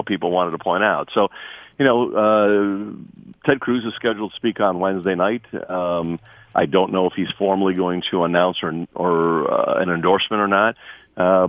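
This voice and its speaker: A man speaking conversationally as a political guest in a radio interview, peppering his points with "you know". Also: low voice. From from Lithuania, immigrant. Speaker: political party figure, guest. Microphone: phone call to radio studio. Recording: tinny phone line, roomy echo.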